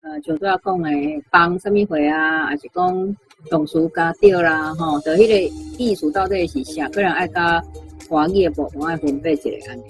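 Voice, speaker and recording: A woman's voice: fairly low pitch, animated, normal speed, faint music behind, poor audio. A woman with a Taiwanese accent commenting as a language teacher, explaining things in a hesitant, think-aloud way. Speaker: Taiwanese Hokkien language teacher giving critique. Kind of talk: spoken commentary on word choice and grammar.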